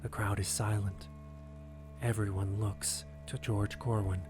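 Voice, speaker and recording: A man delivering scripted dramatic narration for an audio drama in a grave, deliberate way with suspenseful phrasing. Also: fairly low pitch, level tone. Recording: narration over prominent music.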